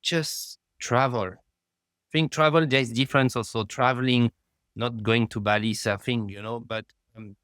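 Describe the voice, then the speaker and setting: A middle-aged man, speaking as a guest in a remote interview, halting and peppered with "you know.". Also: mid-range voice, steady tone, a bit bright, clean tone, no echo, clean studio sound. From France. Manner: halting, fills with "you know", rises in emphasis. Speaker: guest, lab founder.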